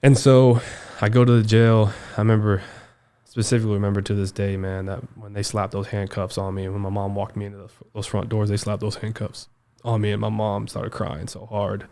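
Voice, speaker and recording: An American man in his twenties recounting a personal story as a podcast guest, halting and emphatic, often repeating himself. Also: lowish voice, little pitch movement, warm tone. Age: 20s. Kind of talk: personal storytelling in a casual interview.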